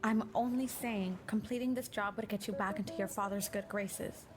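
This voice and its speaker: A woman's voice: medium pitch, steady tone, normal speed, background noise, close to an everyday voice. A woman playing a determined, assured female secret agent in an audio drama, speaking assertively. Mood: guarded, pressing. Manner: assertive, insistent.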